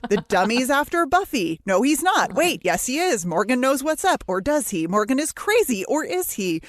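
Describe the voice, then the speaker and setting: A woman's voice: high-pitched, sing-song, moderate pace, dry recording, studio quality. A woman co-hosting a podcast with a sardonic manner, in scripted recap and casual chat. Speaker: podcast co-host.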